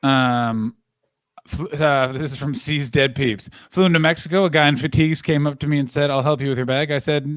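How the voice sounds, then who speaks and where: A man from Canada telling stories in a casual chat, animated and acting out what people said. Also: a little high, fairly quick, dry recording, clean studio sound. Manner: animated storytelling, swearing, acts out quotes.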